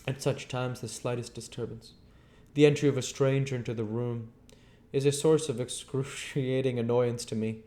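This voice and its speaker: A man voicing a brusque, imperious, secretive gentleman investigator in a storybook reading, with formal, emphatic phrasing. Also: mid-range voice, fairly even intonation, moderate pace, hardly any pauses, pitched above the performer's own voice, natural voice. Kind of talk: storybook character voice in an audiobook reading.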